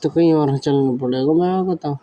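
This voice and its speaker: A man's voice: on the high side, moderate pace. A man chatting casually on the phone in a drowsy, laid-back manner. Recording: phone call with faint background noise.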